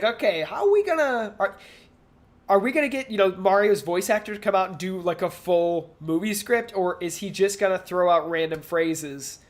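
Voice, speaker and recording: A podcast co-host talks in a casual, off-the-cuff way. The delivery is hesitant and rambling, circling back over words, at an ordinary middle pitch. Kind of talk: casual pop culture discussion.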